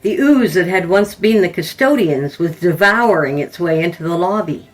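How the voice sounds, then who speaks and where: A woman reading scripted horror fiction as a podcast narrator, in a measured, dramatic storytelling manner. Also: lowish voice, expressive, rough recording.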